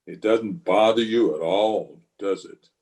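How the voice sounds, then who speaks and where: A man's voice: lowish voice, a little slow, darkish tone. A man playing a grave, conscience-stricken frontier marshal in an old-time radio western, speaking plainly and wearily. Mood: dry, weary. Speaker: actor and narrator in an amateur radio play. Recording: voice recorded over an internet call.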